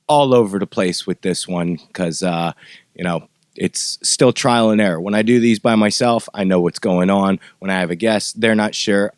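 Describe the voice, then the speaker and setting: Guy hosting a casual podcast chat, kinda low voice, really dry close sound. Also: clean tone, no room sound. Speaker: podcast host.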